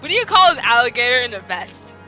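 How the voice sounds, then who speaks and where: A woman's voice: on the high side, very animated, fast, bright, forceful tone, heavily acted, poor audio. A woman reading the part of a playful, sheepish, eager boyfriend in a fan fiction, teasing and coaxing, over music. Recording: music playing behind the voice.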